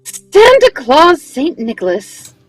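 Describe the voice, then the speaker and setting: A woman's voice: high-pitched, wildly swooping pitch, takes it slowly, no echo, soft background music, exaggerated voice, low-quality recording. A woman playing a bustling Mrs. Santa. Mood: scolding. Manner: bustling, motherly scolding. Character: fussing older Mrs. Santa. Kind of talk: live reading of an old-time radio play.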